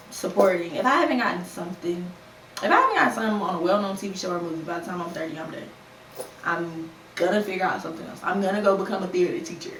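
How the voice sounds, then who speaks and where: A woman's voice: fairly low pitch, far from the mic. A young woman in her twenties hosts a casual podcast, speaking frankly and conversationally with plenty of slang. She sits a little away from the mic in a roomy space, with faint ambient noise underneath. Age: 20s. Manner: candid and conversational, with lively put-on quotes and slang. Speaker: podcast host. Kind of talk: casual solo podcast talk.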